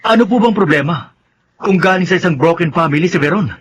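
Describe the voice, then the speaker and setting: An actor playing an earnest young son in a Filipino radio drama, protesting and pleading with a Filipino accent. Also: male voice, high-pitched, fast, slight background noise, lightly acted.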